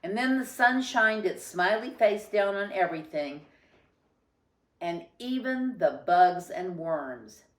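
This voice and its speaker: Slow-reading woman narrator, roomy echo. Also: medium pitch, expressive. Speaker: storybook reader. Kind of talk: expressive children's story narration.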